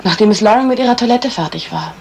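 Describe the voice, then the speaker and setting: A woman's voice: medium pitch, lively intonation, gravelly, a little hiss or hum. An actress playing a cool, evasive woman witness in a 1970s German radio crime play, answering dryly. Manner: dry, evasive, unhurried answers.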